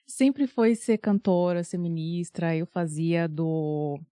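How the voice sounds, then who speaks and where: A woman's voice: lowish voice, takes it slowly, no room sound, studio quality. Laughing Bahian woman telling her story. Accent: Bahian Portuguese. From Bahia, Brazil. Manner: laughs often, animated storytelling. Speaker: guest singer and vocal coach. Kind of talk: casual interview chat.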